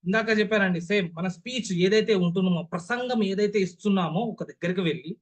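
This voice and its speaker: A man's voice: sits high, fast. A young man with a Telugu accent lecturing an online class as a public speaking trainer, stressing key words and often checking that listeners follow with rhetorical questions.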